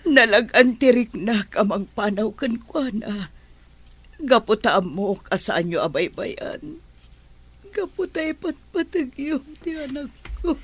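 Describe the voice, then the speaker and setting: A woman's voice: fairly high pitch, animated, slow pace, slightly rough, exaggerated voice. A woman acting a sorrowful, self-sacrificing nanny in an Ilocano radio drama, plaintive and resigned. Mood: apologetic, sad.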